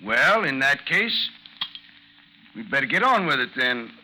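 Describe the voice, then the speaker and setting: A sharp, taunting gentleman duellist. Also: mid-range voice, sing-song, exaggerated voice. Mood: mocking. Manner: formal, mocking, deliberate. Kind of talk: 1950s radio drama acting. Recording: noisy 1950s radio recording, slight hiss.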